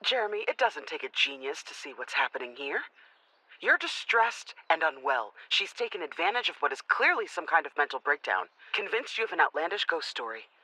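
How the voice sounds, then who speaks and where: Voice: mid-range voice, sing-song, a little fast, pushed and bright, no room sound, heavily acted, low-quality recording. An actor playing a manipulative corporate official in an audio drama, controlled, condescending and coolly persuasive. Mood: condescending persuasion.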